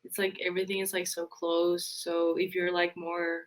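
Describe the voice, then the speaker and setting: A woman's voice: on the low side, little pitch movement, moderate pace, slight background noise. A young woman from Spain, a college student, answering interview questions casually and hesitantly, with many fillers. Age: young adult.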